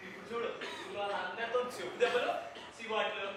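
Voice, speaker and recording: Scornful villager, played by a man on stage, slow taunting drawl; far mic, hall echo. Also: high voice, steady tone, close to an everyday voice. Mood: mocking. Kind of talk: live stage acting. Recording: echoey hall from far off, audience noise.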